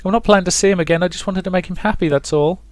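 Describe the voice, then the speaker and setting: Man putting on a high-pitched, frightened young girl. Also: rapid-fire, no echo, close to an everyday voice. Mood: nervous pleading. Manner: stammering, pleading. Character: timid, frightened young girl pleading with her mother.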